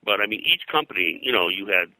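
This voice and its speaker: An older man talking in a rambling interview style, halting and digressive as a guest historian. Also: on the low side, lively intonation, pushed and bright, no echo, poor audio. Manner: halting, digressive, frequent "you know". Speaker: guest, pop culture historian.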